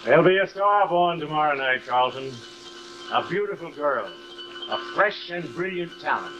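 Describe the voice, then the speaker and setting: An actor playing a suave, steady middle-aged gentleman friend in a polished, measured manner, in old live television drama style. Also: a little high, dull audio, faint music behind. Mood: courtly, admiring. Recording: noisy, hissy old television soundtrack.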